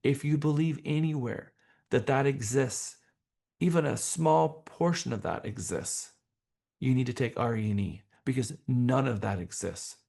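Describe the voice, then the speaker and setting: A man teaching a professional class as a trainer, speaking in a deliberate, emphatic way with rhetorical questions. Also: mid-range voice, gravelly, dark tone, husky. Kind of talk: motivational training seminar talk.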